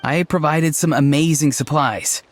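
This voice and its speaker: Young male farmer-warrior for a game , man acting: sincere, plain-spoken, easygoing, slightly high pitch. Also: measured pace, a little hiss or hum, lightly acted. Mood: earnest. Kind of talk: video game character dialogue.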